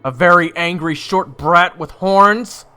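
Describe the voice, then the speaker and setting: A man's voice: high voice, takes it slowly, bright, forceful tone, clean tone, full character voice. A man playing a wry, sarcastic adventurer who narrates his own fantasy tale in a conversational way. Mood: indignant, teasing. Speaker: narrator and protagonist. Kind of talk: first-person dramatic narration.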